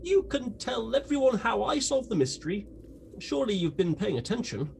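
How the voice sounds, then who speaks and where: A performer playing a vain gentleman detective in a comedy radio play, pompous, dry and self-important. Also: medium pitch, sing-song, moderate pace, vocal fry, a little hiss or hum. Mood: breezy.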